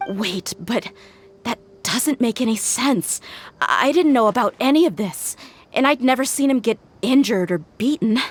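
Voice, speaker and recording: A woman's voice: a little high, expressive, unhurried, soft background music. An actress playing a tough, commanding young woman mercenary in a video game, speaking bluntly. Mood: confused, unsettled. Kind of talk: scripted game voice acting.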